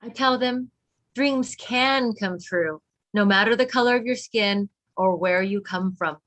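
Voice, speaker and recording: A woman's voice: medium pitch, measured pace, fairly bright tone. A woman reading a children's picture book aloud, with teacherly emphasis for young listeners. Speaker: read-along co-reader.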